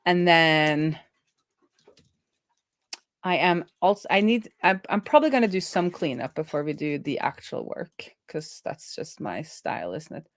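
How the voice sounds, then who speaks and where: A woman's voice: sits low, expressive, unhurried, no echo, studio quality. A woman hosting a coding livestream, chatting casually and thinking aloud as she works.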